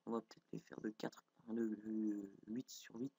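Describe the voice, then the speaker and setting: A man's voice: on the low side, little pitch movement, unhurried, creaky, warm tone, slightly rough, no room sound. A young man with a cold and a stuffy nose, casually commenting as he builds in a game, thinking aloud with frequent hesitations. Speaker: gameplay video creator.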